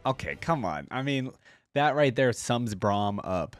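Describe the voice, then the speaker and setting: A man's voice: medium pitch, sing-song, measured pace, soft background music. A man hosting a reaction video, talking casually and informally with plenty of enthusiasm into a close mic.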